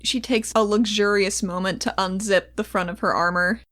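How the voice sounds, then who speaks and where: A woman's voice: medium pitch, steady tone, normal speed, a bit bright. A woman chatting casually and playfully as a player in an improvised tabletop game.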